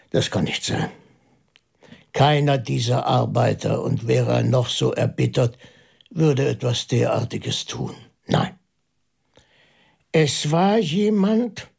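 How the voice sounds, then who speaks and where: An actor playing a pondering priest detective in a German radio play, methodical and intense, musing aloud with halting emphasis. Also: male voice, a little high, vocal fry, a little mellow, slightly rough, heavily acted. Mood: insistent, puzzled. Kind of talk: German radio play dialogue, deliberate monologue.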